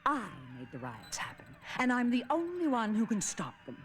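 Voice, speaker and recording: Imperious scheming cartoon villainess. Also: female voice, a little high, wildly swooping pitch, normal speed. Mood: defiant, imperious. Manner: commanding, calculated, haughty. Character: ruthless, imperious corporate villainess, scheming and cold. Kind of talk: animated series voice acting. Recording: music behind the dialogue.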